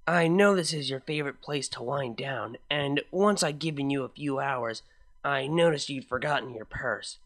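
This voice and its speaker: A timid, caring young pony mare talks gently, hesitating as she picks her words. A man voices her for a fan story. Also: medium pitch, normal speed, natural voice. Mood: gentle, hesitant.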